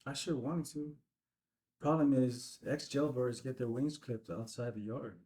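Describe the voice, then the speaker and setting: An actor playing a rueful ex-convict biker in a script table read, colloquial and plain-spoken. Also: male voice, mid-range voice, fairly even intonation, a little slow, mellow, natural voice. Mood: reflective, wry. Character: rueful male ex-convict biker recalling an old friend. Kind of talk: filmed screenplay table read.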